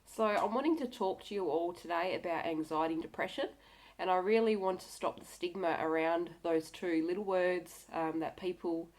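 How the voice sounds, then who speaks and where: A woman's voice: lowish voice, normal speed, a little mellow, poor audio. A woman with a slightly nasal, cold-affected voice talking candidly to viewers in an earnest, direct and encouraging way. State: has a cold. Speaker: video blogger. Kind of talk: candid personal talk to camera.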